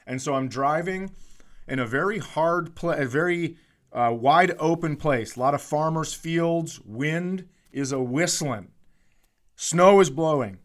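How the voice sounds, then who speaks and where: A man's voice: fairly high pitch, a little slow. A middle-aged American man telling casual comedic stories as a podcast host, with a profane, exasperated ranting manner.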